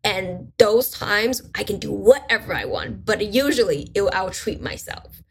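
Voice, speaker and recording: A woman's voice: medium pitch, a little slow, slightly rough. A woman whose first language is Mandarin, a guest talking casually in an interview with many fillers and restarts. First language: Mandarin Chinese. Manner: relaxed, chatty, with fillers and restarts.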